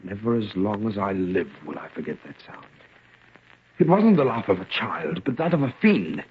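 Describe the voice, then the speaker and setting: Grave gentleman father, radio melodrama, rough husky voice, muffled old recording. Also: fairly low pitch, very animated, normal speed, heavily acted. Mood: grave, haunted. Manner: solemn, melodramatic. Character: troubled, grave gentleman father of a wicked son. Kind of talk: old-time radio drama acting.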